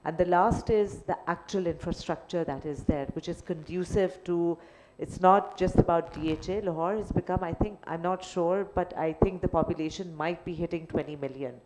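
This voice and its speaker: A woman's voice: on the low side, moderate pace, heavy background noise. A middle-aged woman with a Pakistani English accent, speaking on a business panel in a thoughtful, hesitant way with many fillers. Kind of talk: panel discussion. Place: event hall.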